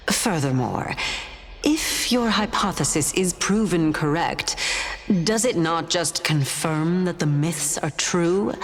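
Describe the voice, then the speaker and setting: A calm, sorrowful figure from a video game who sighs and questions gently. Her voice sits fairly low and moves very slowly, with clear music behind it. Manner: slow, sighing and gently probing.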